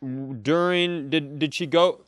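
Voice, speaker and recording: A man's voice: a little high, steady tone, measured pace, no room sound, clean studio sound. A man co-hosting a comedy podcast, telling stories casually in a joking, profane, rambling way. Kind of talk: casual comedic storytelling chat.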